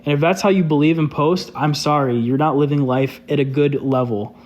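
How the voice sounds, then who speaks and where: A man's voice: on the high side, fairly even intonation, brisk, darkish tone, highs cut off, slight background noise. A young man chatting casually on a live stream, loose and candid, filling his talk with "like". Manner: loose and candid, heavy use of "like". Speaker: social media creator hosting a live stream.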